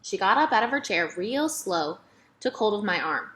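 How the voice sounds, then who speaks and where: A woman's voice: medium pitch, big pitch swings, moderate pace, a bit bright. A woman reading a children's novel aloud as scripted first-person narration. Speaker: storybook reader.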